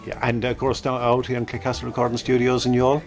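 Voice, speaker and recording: Irish male radio interviewer, medium pitch, informal questioning, faint music underneath. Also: monotone, fast talker.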